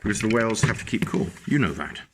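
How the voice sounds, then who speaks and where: A man reading a picture book aloud to young children, animated and stopping to explain the pictures to his small listeners. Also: on the low side, quick pace, a little hiss or hum.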